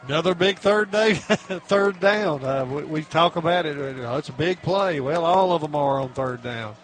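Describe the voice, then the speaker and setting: A man with a slightly high voice works as a football color commentator. He talks loosely and conversationally, with halting, unscripted phrasing. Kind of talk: unscripted sports analysis.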